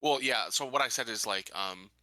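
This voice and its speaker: A man chatting casually as a player in a tabletop game, halting as he talks. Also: medium pitch, bright, forceful tone, no room sound.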